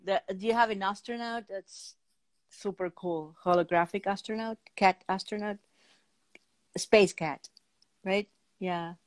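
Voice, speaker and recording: Slow-paced middle-aged woman with a foreign accent. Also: mid-range voice, steady tone, dry recording. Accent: foreign-accented English. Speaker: talk show host. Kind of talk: casual interview chat. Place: indoor room at home, on a video call.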